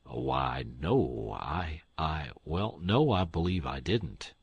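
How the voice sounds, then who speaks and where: A man voicing a kindly old gentleman farmer from the South, courteous and unhurried. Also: very deep voice, lively intonation, vocal fry, husky, no room sound, dull audio, pitched below the performer's own voice, exaggerated voice. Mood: flustered.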